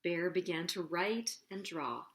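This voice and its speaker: A woman hosting children's storytime, narrating a picture book in an expressive, deliberate, sing-song way. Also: lowish voice.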